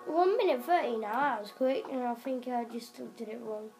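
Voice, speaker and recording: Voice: medium pitch, animated, normal speed, vocal fry, a little mellow. A young-sounding gamer improvising a role-play commentary on a video game, rambling and exclaiming. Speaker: gaming video maker. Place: indoors at home, in front of a television. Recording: camera filming a TV, game music.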